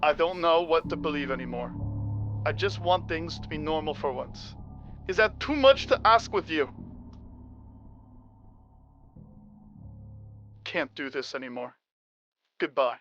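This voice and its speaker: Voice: mid-range voice, expressive, moderate pace, fairly bright tone, lightly acted. An actor playing a fed-up, accusing jilted partner on a call, blunt and confrontational, in an audio drama. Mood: fed up, resigned. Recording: dull, muffled sound over music.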